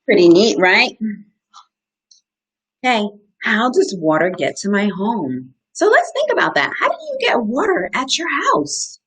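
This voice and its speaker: A woman in her thirties reading aloud to children as an educator, pausing to ask questions and explain in simple, encouraging terms. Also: fairly high pitch, sing-song, a bit bright, slightly rough. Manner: simple, interactive, encouraging teacher talk. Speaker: outdoor educator hosting a children's story time. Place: small indoor room. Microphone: webcam at desk distance, live video stream.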